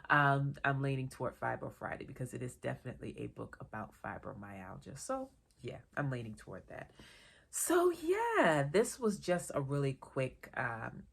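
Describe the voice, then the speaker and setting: A woman talks to camera in an easy, meandering vlog chat, her voice low and full of vocal fry. She sounds stuffy from a cold and a little worn out, but keeps the tone loose and chatty. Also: normal speed. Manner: chatty, relaxed, digressive with small laughs. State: congested from a cold; tired. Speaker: vlogger and author. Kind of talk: casual solo vlog chat.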